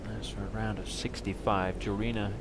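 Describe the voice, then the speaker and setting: A man calling a women's golf broadcast as play-by-play announcer, with a smooth, measured delivery. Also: fairly low pitch, level tone, very noisy. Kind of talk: TV golf commentary.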